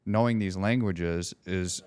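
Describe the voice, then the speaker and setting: A man's voice: low-pitched, a little slow, a little mellow. A man co-hosting a podcast in casual chat, talking loosely and sometimes searching for words; Polish was his first language. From United States. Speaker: podcast co-host.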